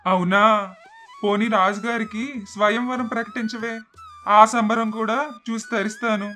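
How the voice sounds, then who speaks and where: A man's voice: high-pitched, flat delivery, measured pace, sharp tone. A man voicing a stern, sarcastic middle-aged father who scolds, in a cartoon moral story. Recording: constant background music.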